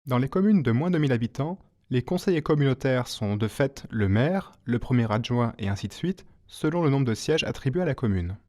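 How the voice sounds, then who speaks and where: A man reading a scripted informational narration as an explainer, in a clear, didactic manner. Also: mid-range voice, animated, a little fast, dark tone.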